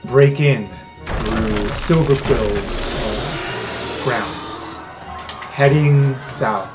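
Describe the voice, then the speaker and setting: A male game master narrates an improvised fantasy scene. His voice sits in an ordinary middle range, with dramatic, deliberate pauses. Music plays clearly behind him. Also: medium pitch, poor audio. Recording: background music under the voice.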